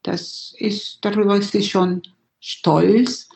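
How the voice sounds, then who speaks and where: A woman's voice: medium pitch, steady tone, slow pace, gravelly, a little mellow, reverberant. A middle-aged woman whose first language is Spanish, speaking German in a thoughtful, hesitant interview with many fillers. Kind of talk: reflective personal interview.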